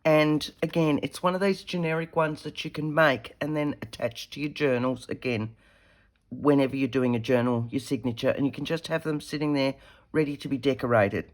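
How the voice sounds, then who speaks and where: A woman, slightly croaky from a head cold, chatting casually as she demonstrates a paper craft while working with her hands. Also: deep voice, brisk. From Australia. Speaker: craft tutorial host.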